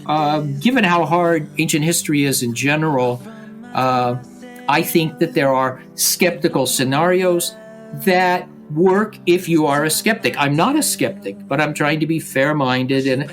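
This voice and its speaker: An older man, a professor, answering an interview question in a careful, measured way. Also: a little high, steady tone. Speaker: professor and guest scholar. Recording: soft guitar music behind.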